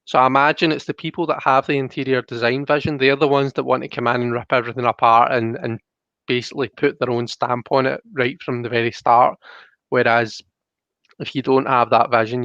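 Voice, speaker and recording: A man's voice: medium pitch, a bit bright, no echo, highs cut off. A young adult man hosting a live stream in a casual, rambling way, thinking aloud as he chats and reads out comments. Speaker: host of a live card reading stream. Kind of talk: casual chat, reading out viewer comments.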